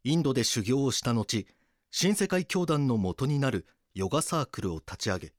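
A man reading scripted documentary drama narration in a grave, measured manner. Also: medium pitch, lively intonation, no echo, studio quality.

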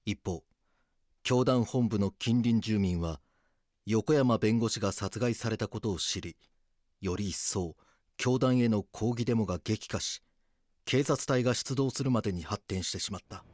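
An actor playing an earnest police detective who narrates his case in a grave, measured way for an audio drama. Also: lowish voice, a little mellow, dry recording, clean studio sound, natural voice. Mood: grave narration.

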